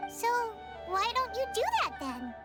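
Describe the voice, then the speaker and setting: A tiny, squeaky fairy sidekick speaks extremely high with wildly swooping pitch. The perky anime game delivery is childlike and unhurried, over loud music. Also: female voice, full character voice. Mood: indignant. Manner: perky, expressive. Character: small, bubbly female fairy companion with childlike chirpy temper. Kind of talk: anime video game voice acting.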